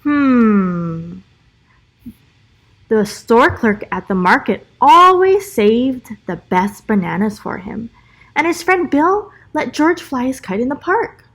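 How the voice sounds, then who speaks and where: A high-pitched female voice reads a picture book to young children as a teacher would. The delivery is expressive and animated, and she breaks off for encouraging asides to the listeners. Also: slow, low-quality recording. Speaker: special education teacher reading aloud. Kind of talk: expressive children's storybook read-aloud with asides.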